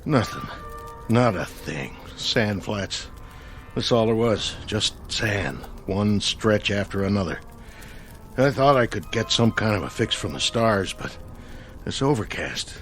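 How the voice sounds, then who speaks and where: An actor in a radio drama playing a sullen, weary soldier stranded on a desert planet, grumbling and defeatist. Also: male voice, lowish voice, lively intonation, a little slow, fairly bright tone, faint music behind. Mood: weary, evasive.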